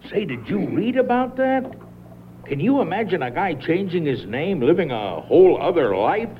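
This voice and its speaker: An actor playing a cagey, patronising killer in a radio mystery, casual and controlled with cool menace. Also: male voice, high voice, sing-song, moderate pace. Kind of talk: radio mystery drama acting. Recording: tinny band-limited vintage radio, faint noise.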